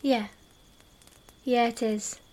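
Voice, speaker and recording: A woman playing a brave, affectionate halfling adventurer in an audio drama, speaking in a soft, earnest way. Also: a little high, steady tone, very slow. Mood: tender.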